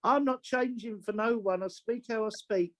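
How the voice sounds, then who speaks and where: A woman's voice: fairly high pitch, moderate pace. An older woman with a London accent, talking bluntly in a group video call. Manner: blunt, outspoken.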